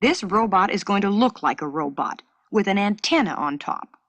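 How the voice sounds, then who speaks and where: A woman acts out a stubborn, excitable schoolboy from a children's story. The delivery is emphatic and exclaiming, jumping up and down in pitch, with a slightly husky edge. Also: moderate pace, dry recording. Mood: objecting.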